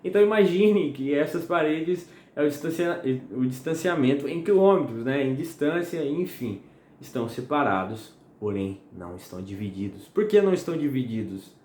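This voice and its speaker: Slightly hoarse young preacher. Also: male voice, fairly high pitch, expressive, normal speed, a little mellow. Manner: chatty and friendly, asks "tá?" often. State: slightly hoarse and sweating in the heat. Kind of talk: casual home-recorded sermon. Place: home at night. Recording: echoey room, faint noise.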